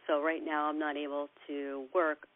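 A woman's voice: low voice, flat delivery, moderate pace. American woman on a tinny phone line. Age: 40s. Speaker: interviewee. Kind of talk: casual self-introduction.

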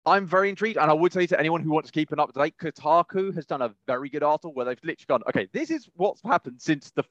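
A man co-hosting a podcast, casually discussing news in a halting, rambling way and often rephrasing mid-sentence. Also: fairly high pitch, expressive, a bit bright, dull audio. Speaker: podcast co-host.

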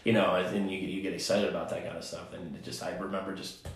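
A man's voice: low voice, little pitch movement, fast talker. A man hosting a casual conversation, laid-back and joking. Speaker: podcast host. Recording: very echoey room.

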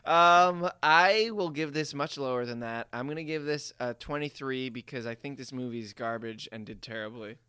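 A man's voice: mid-range voice, normal speed, few pauses, sharp tone, clear, resonant tone, dry recording, clean studio sound. A man co-hosting a podcast in a casual, conversational chat. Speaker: podcast co-host.

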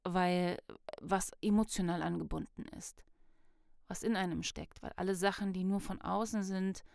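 A woman's voice: on the low side, fairly even intonation, moderate pace, creaky, darkish tone, dry recording, studio quality. A woman giving a casual self-help talk, reflective and thinking aloud with fillers, often acting out little inner dialogues.

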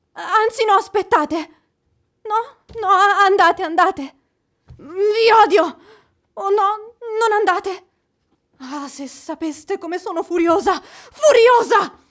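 An imperious young widow in a theatrical radio play. Her voice is strikingly high and her delivery unhurried. She is proud, fiery and dramatically fickle. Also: a bit bright, exaggerated voice.

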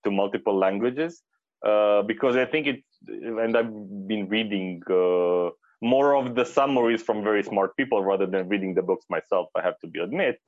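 A man's voice: on the low side, normal speed, no room sound, dull audio. A man from Romania talking as an interview guest, hesitant, with many fillers and restarts. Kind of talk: casual interview talk.

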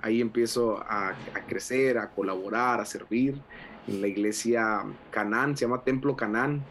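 A man's voice: fairly low pitch, steady tone, moderate pace, fairly bright tone, a little hiss or hum. A young adult man from Mexico, an associate pastor speaking as a radio interview guest, fervent and full of fillers and restarts.

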